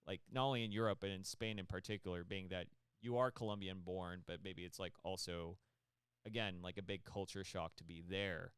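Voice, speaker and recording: A man's voice: deep voice, steady tone, a little fast, fairly bright tone, clear, resonant tone, dry recording, clean studio sound. A man hosting a casual interview chat, rambling and full of fillers. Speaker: host.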